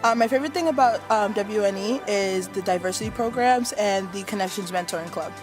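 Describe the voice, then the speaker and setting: A woman's voice: medium pitch, a little fast. A young woman student from Massachusetts introducing herself in a friendly, slightly hesitant way. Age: young adult. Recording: music bed behind voice.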